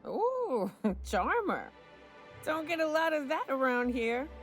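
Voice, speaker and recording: Drawling hungover frontier hunter. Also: female voice, high-pitched, big pitch swings, slow, full character voice. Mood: suspicious, amused. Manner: coarse, swearing, folksy. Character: rough, hard-drinking frontier woman hunter guide. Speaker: hunting guide. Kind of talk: video game voice acting. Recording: game audio with faint music.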